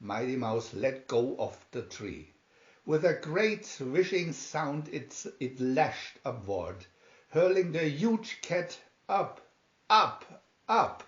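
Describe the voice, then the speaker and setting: Slow, echoey male storybook reader. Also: mid-range voice, very animated. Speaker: reader of children's picture booklets. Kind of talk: storybook reading, scripted narration. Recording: dull, echoey home recording.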